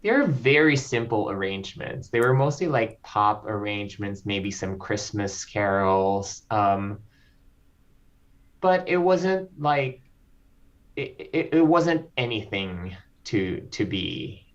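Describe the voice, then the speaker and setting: A man from the Philippines, a composer guest speaking slowly and reflectively, with frequent ums. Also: on the low side, sing-song. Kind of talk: interview conversation. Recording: slightly dull lo-fi audio.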